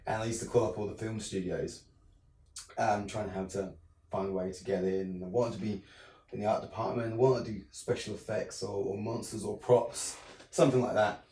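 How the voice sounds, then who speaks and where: A man's voice: lowish voice, moderate pace, reverberant, far from the mic, slight background noise, poor audio. A young English man from the south of England with a southern English accent, chatting casually in an interview with lots of ums and restarts. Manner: relaxed, many ums and restarts. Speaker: interview guest, filmmaker.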